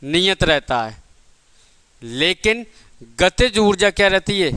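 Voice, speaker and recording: A man's voice: sits high, very animated, slow, pushed and bright, clear, resonant tone, no echo. Male physics tutor, exam coaching, rhetorical questions, clean dry close-mic sound. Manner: asks the class questions and answers them himself; calls listeners "beta" and "bhai". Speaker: physics teacher. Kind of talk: exam-prep physics lesson.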